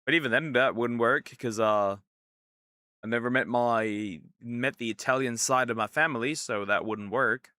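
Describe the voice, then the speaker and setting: A male streamer giving casual, excitable commentary on a game, reacting with disbelief and joking to his chat. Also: mid-range voice, normal speed. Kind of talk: let's play commentary.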